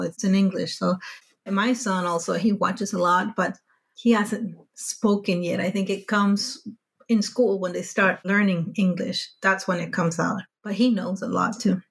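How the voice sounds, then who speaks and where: A woman's voice: mid-range voice, creaky. Spanish-native woman chatting openly. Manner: chatty, animated when recounting frustration, frequent self-corrections. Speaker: podcast guest. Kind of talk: casual conversational storytelling.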